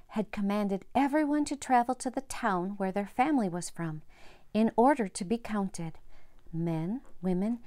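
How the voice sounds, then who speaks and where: A woman in her fifties reading a story aloud to young children and gently explaining it in simple words. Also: medium pitch, no room sound. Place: indoors, home room with bookshelves. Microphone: lapel mic.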